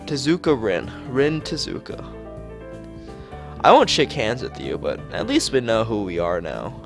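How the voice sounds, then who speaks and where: A man voicing a blunt, deadpan teenage schoolgirl in visual novel dialogue. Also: medium pitch, measured pace, creaky, music in the background. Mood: flat, blunt. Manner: deadpan, straightforward.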